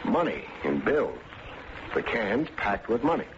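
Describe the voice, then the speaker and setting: Wry American detective, lively delivery. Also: male voice, lowish voice, a little slow. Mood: curious. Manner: wry, wisecracking. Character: wry, probing male private detective. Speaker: detective and narrator. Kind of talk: old-time radio drama acting with first-person narration. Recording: muffled, noisy old radio recording.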